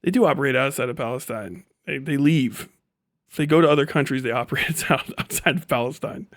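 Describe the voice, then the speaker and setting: A man's voice: mid-range voice, a little fast, a bit bright, no echo. A man doing casual livestream commentary, talking in an emphatic, profane, ranting way. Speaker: livestream host.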